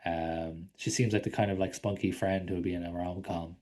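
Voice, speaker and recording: Rambling, low-voiced man on a podcast. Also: fairly even intonation, brisk, a little mellow. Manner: rambling, frequent ums and likes, swears casually. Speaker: podcast co-host. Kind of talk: casual film discussion.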